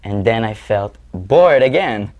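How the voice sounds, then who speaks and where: Guest, young man, twenties, Israeli accent, lowish and earnest. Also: animated, slow pace, darkish tone, muffled recording. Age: 20s. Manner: earnest, searching for words. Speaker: guest musician. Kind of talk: interview answers.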